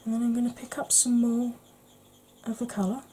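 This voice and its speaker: A woman from the UK, a beauty vlogger talking through a makeup tutorial in a quiet voice that keeps slipping into whispers. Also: fairly high pitch, flat delivery, fairly quick, slight background noise. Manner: quiet, soft-spoken, drifting into whispers.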